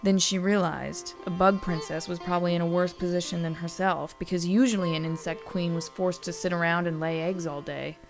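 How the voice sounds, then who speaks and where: Female storyteller, lowish voice, scripted fantasy narration over soft music. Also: fairly even intonation, a little fast, few pauses.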